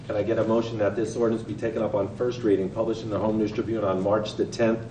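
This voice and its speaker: A man chairing a council meeting, reading procedural motions in a brisk, routine way, recorded in a large council chamber. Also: on the low side, level tone. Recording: echoey chamber, far mic, background noise.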